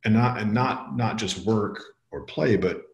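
Man, very low voice, guest author, reflective, earnest, echoey room. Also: a little slow, creaky, dull audio. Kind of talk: podcast conversation.

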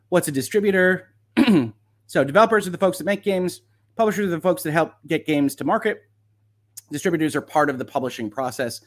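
Wry man with a higher-pitched voice. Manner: wry, conversational, with sardonic asides. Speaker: lawyer hosting a commentary livestream. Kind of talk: casual legal commentary, reading documents aloud.

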